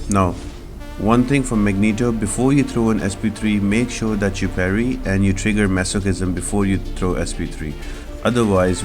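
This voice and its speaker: A man giving an explanatory, instructional game walkthrough as the host of a gaming video. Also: deep voice. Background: loud music behind the voice.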